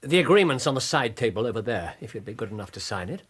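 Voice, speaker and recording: An actor playing a commanding, sardonic British detective in a radio drama, precise and theatrical. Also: male voice, medium pitch, big pitch swings, fast talker, fairly bright tone, slightly rough. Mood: brisk, businesslike. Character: brilliant, commanding, sardonic male private detective.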